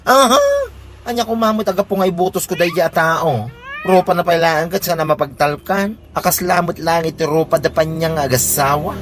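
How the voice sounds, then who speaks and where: A man's voice: a little high, fairly quick, close to an everyday voice. A man playing a defiant, touchy villager who resents meddling, in a comedy radio drama. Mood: opinionated. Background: background music.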